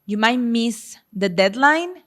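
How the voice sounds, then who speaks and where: A woman's voice: a little high, lively intonation, takes it slowly. Woman, thirties, podcast host, casual chat, encouraging coaching tone. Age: 30s. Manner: encouraging, coaching tone, plain-spoken.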